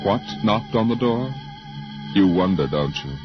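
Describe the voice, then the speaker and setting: A man narrating a 1950s radio drama as announcer, theatrical and suspenseful, with deliberate pauses. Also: on the low side, expressive, over music. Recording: dull old radio recording.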